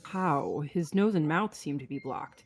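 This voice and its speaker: Someone reading a blunt space captain, short firm lines, medium pitch, bit of background noise. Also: a little slow, lightly acted. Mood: grim. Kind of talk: scripted table read of a sci-fi film.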